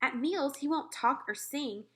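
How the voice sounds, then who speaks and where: A woman voicing excitable, gushing young girls at camp in a children's storybook. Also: high voice, measured pace, voice pushed up. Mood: complaining. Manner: pleading, gushing.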